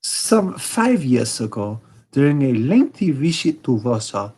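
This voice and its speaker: A man's voice: mid-range voice, big pitch swings, a little slow, a little mellow, breathy edge, voice pushed up. A man voicing a proud, haughty Bohemian king with a put-on German accent, raised well above his own voice.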